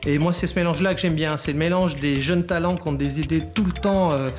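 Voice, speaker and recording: A man's voice: a little high, steady tone, fast talker, faint music behind. A man giving interview remarks, hesitating and repeating words as he thinks.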